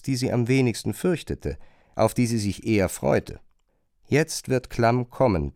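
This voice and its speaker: A man's voice: mid-range voice, a little mellow, dry recording, clean studio sound. A man narrating a radio drama in a scripted, literary style.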